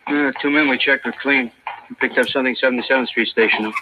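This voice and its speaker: A man acting a brisk policeman in an old radio drama. He reports quickly and matter-of-factly, sounding thin and tinny with faint noise behind. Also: mid-range voice, steady tone, natural voice. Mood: brisk, alert. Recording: thin phone-like, faintly noisy vintage radio.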